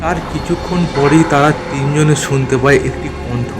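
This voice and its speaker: A man telling a scripted horror story as narrator, in a measured, dramatic manner. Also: music in the background. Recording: clear noisy ambient rumble behind narration.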